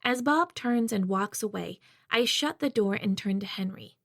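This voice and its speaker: A woman narrating a survival audiobook in first person. Her voice sits at a middle pitch and her delivery is even and measured. The recording is dry and close, with no room sound around it. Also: gravelly, dry recording.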